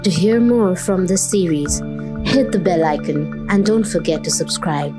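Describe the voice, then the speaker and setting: Woman announcer, lowish voice, dramatic, loud new-age music behind. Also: unhurried. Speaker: channel announcer. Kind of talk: promo voice-over.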